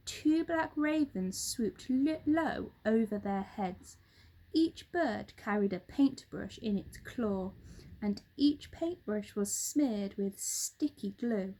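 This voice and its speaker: A woman reading a children's story aloud expressively, with dramatic, deliberate phrasing. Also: medium pitch, animated, mellow, low-quality recording.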